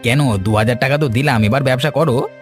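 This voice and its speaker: A man's voice: mid-range voice, fairly quick, close to an everyday voice. Man acting sly, glib village swindler. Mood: smug, mocking. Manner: glib, persuasive, scheming. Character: sly, smooth-talking village swindler, greedy male trader. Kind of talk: cartoon fairy-tale dialogue. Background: background music.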